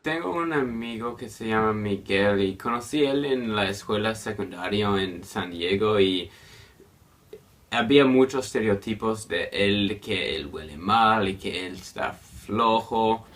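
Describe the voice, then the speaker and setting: Low-voiced man, careful phrasing. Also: normal speed, a bit bright. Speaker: interviewee, student. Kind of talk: documentary interview.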